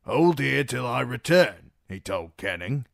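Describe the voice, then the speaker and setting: A man's voice: mid-range voice, big pitch swings, unhurried, gravelly, fairly bright tone, no echo, studio quality, voice pushed up, heavily acted. A man voicing an uneasy male guard who insists on his orders. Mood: insistent. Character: uncertain male guard, loyal soldier.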